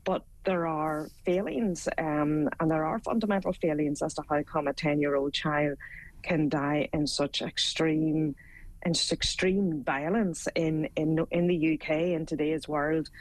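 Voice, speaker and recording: A woman's voice: low voice, fairly even intonation, unhurried, no echo, rough recording. A woman with a Northern Irish accent, a social worker guest speaking earnestly and somberly with hesitant fillers.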